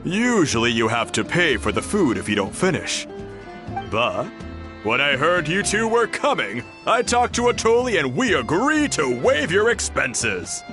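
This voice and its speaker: An earnest young warrior of a tribe in a game. The voice sits fairly high and moves expressively, courteous and friendly, over clear background music. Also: male voice, sing-song, unhurried. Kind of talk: video game character acting.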